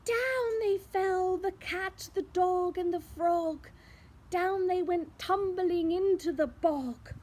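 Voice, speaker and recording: A woman narrating a rhyming children's storybook in an expressive, theatrical way, with dramatic pauses. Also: very high voice, poor audio.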